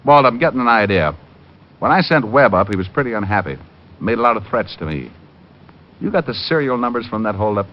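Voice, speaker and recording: An actor as a cocky private eye with a lowish voice. He is breezy and sardonic, tossing off hard-boiled wisecracks in vintage radio drama style. The old recording sounds muffled. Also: expressive, a little fast, slight background noise, natural voice. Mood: brisk, probing. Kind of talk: 1940s-50s radio detective drama acting and narration.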